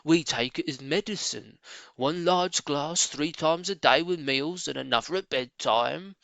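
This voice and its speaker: Hearty male badger, acted by the reader, storybook: emphatic, blustery, sharp and highish. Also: a little slow, vocal fry, dry recording. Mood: pompous. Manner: emphatic, exclamatory. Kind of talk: storybook character voice.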